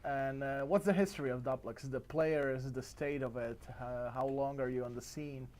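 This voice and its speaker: A man's voice: medium pitch, steady tone, normal speed, darkish tone. Friendly male podcast host, laughing. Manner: friendly, laughs often, slightly broken English. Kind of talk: casual interview chat.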